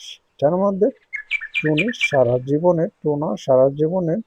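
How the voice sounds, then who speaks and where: A voice actor playing a scheming male crow thief who talks in a low, conspiratorial way. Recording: noisy recording.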